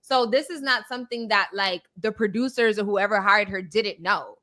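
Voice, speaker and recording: A woman's voice: mid-range voice, moderate pace, sharp tone. A woman hosting a commentary show, explaining things in a casual, conversational way.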